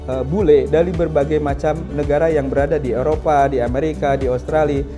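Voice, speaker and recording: Low-voiced woman explaining casually. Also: steady tone, brisk, over music. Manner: casual, explanatory, frequent "nah" and "gitu kan". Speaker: school representative. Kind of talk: promotional interview talk.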